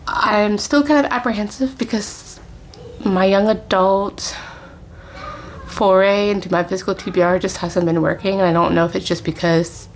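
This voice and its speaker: A woman talks casually to her viewers about her reading plans at an everyday, mid-range pitch. She chats loosely, often breaking into soft laughs and dropping into whispered asides. A faint hiss sits under the recording. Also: steady tone, moderate pace. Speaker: book vlogger. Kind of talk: casual chat to camera. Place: at home, family in another room.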